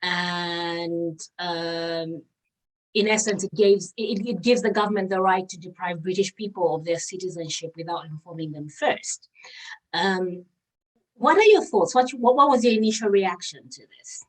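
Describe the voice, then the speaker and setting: A woman hosting a conversational podcast interview, with measured, somewhat hesitant phrasing. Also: on the low side. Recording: distant from the mic.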